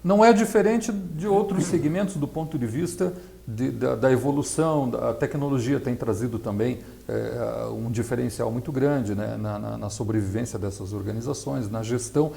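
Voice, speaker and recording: A middle-aged man explaining his field as an interview guest, didactic and halting, often adding tag questions. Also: mid-range voice, gravelly, dark tone. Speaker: interview guest, accountant and nonprofit consultant.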